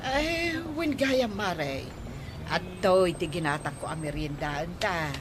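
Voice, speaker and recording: A woman's voice: lowish voice, animated, slow pace, a little hiss or hum. A woman playing a nosy, teasing village neighbour in a comedic drama, chatty and questioning, with an Ilocano accent. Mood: friendly coaxing. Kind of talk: comedic radio-style drama acting.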